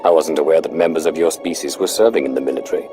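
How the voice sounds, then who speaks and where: Grim dark lord, very low voice, measured, commanding, video game dialogue, music behind. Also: male voice. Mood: cold, calculating. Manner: measured, authoritative.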